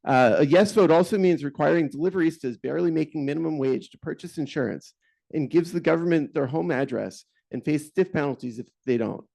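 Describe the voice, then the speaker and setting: A man with a gravelly, fairly high voice argues his case at a community board meeting. He reads his comment in a pointed, argumentative way. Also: fairly quick, warm tone. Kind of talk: prepared public comment. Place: meeting hall.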